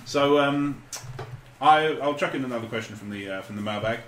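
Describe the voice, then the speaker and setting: A man's voice: medium pitch, brisk. A man co-hosting a podcast, chatting casually and comically. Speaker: podcast co-host. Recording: echoey room with faint noise.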